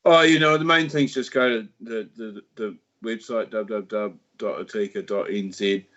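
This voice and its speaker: A man's voice: on the low side, steady tone, fairly bright tone. A man with a New Zealand accent, talking casually on a livestream about his party, with lots of restarts and fillers. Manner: halting, many restarts and fillers. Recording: dull lo-fi stream audio.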